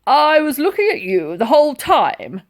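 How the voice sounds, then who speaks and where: A woman's voice: high voice, very animated, moderate pace, pitched above the performer's own voice. A woman voicing a suspicious, pompous old male sergeant major for a children's storybook, with huffy, barking, emphatic delivery.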